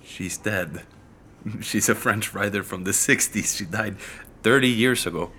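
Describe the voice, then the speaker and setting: A man acting a puzzled, hesitant character in an audio drama, speaking in a halting, questioning, naturalistic way. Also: lowish voice, sharp tone, slight background noise. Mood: bewildered. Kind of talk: naturalistic fiction podcast acting.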